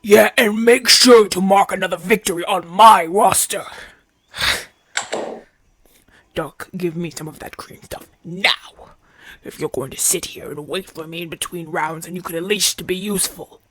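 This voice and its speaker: A man playing a proud, haughty Roman gladiator in an ASMR audio roleplay, imperious and formal, often dropping to a breathy murmur. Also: high-pitched, fairly even intonation, normal speed, fairly bright tone. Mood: fired up, demanding. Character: proud, haughty Roman gladiator champion, gruff but softening.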